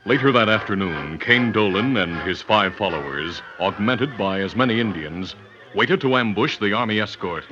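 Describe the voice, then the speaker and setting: A man performs as the narrator of a vintage radio western, delivering scripted story in a dramatic, low voice. Loud music plays behind him, and the old recording sounds dull. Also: expressive, normal speed, close to an everyday voice.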